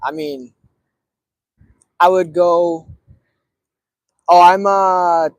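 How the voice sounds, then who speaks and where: A man's voice: high voice, drawn-out words, no room sound. Laid-back man in his thirties chatting. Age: 30s. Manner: relaxed, filler-heavy, rambling. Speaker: cigar streamer. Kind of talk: casual chat to viewers.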